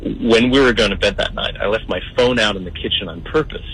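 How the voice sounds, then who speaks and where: A man's voice: on the low side, fairly quick, fairly bright tone, a little hiss or hum, low-quality recording. A young man calling in to a radio show, telling his story in a hesitant, rambling way full of fillers. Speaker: caller.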